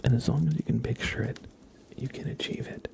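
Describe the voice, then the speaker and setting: A man hosting an ASMR livestream, giving card readings in a gentle, halting way as he thinks aloud. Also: medium pitch, fairly even intonation, vocal fry. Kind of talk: whispered ASMR, casual tarot card reading.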